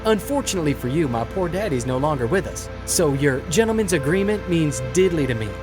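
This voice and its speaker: A man's voice: a little high, lively intonation, fairly quick, music in the background, close to an everyday voice. A man playing a smug, defiant oil refinery boss in an audio drama, sardonic and taunting. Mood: mocking.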